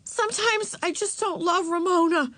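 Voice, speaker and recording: Woman as an earnest, higher-voiced young girl. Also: lively intonation, slow pace, fairly bright tone, dry recording, full character voice. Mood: blurting. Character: earnest older sister, an exasperated young girl.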